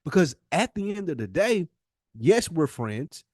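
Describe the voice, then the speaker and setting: A man's voice: on the high side, very animated, a little slow, breathy edge, dry recording, studio quality. An American man co-hosting a podcast in a candid heart-to-heart, speaking earnestly and emphatically and repeating himself to make his point. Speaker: podcast co-host.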